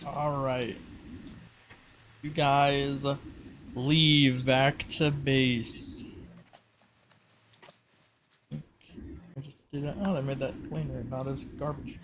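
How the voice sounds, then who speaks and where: A man narrating a tabletop game casually as game master, improvising in a halting way. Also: mid-range voice, flat delivery, noisy background.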